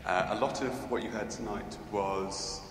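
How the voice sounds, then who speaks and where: A man from Scotland answers audience questions in a large church, pausing and restarting as he thinks. His voice sits fairly low. The reverb is long, with a steady noise behind him. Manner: hesitant, thoughtful, many fillers and restarts. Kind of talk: unscripted Q&A answers. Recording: echoey church with background noise.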